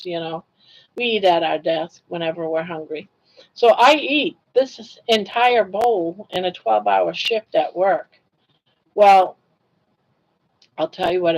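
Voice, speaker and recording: A woman's voice: medium pitch, vocal fry, poor audio. Chatty older woman laughing through stories. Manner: chatty, laughs often, reads chat comments aloud. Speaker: livestream host. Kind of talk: casual livestream storytelling.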